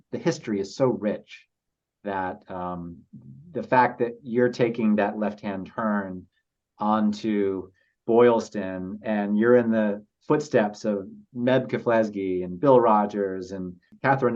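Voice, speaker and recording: Low-voiced man reflecting, slightly muffled recording. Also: a little mellow. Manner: halting, reflective, earnest, repeats words. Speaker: podcast guest, author. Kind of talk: casual interview storytelling. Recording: slightly dull lo-fi audio.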